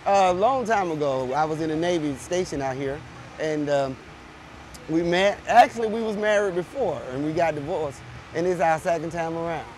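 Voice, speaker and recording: A middle-aged man from Alabama telling a personal story in an interview, unhurried and homespun. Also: a little high, a little mellow, a little hiss or hum. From Birmingham, Alabama.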